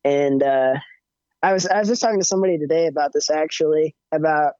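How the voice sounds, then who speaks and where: A young man with a higher-pitched voice, chatting casually as a musician guest with lots of fillers and restarts. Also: quick pace, clean tone, no room sound. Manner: relaxed, rambling, many fillers and restarts. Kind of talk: casual interview chat. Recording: dull, muffled audio.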